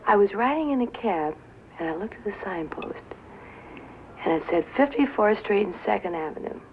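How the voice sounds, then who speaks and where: An actress playing a tough, bitter young nightclub singer who drinks, with an American accent and a sardonic, drawling delivery, in a 1950s film drama. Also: female voice, fairly low pitch, vocal fry. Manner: sardonic, drawling, dwelling on and repeating words. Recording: tinny, hissy 1950s film soundtrack.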